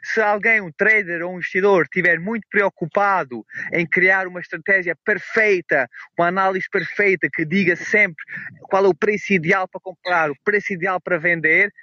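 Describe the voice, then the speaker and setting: A man from Portugal with a European Portuguese accent, a guest explaining his trading views in a measured way, with many "ah" fillers, while out walking. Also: high-pitched, fairly even intonation, bright, forceful tone, no room sound. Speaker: guest, trader. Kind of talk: casual interview over a call. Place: outdoors on a beach walk. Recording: muffled call audio, dry.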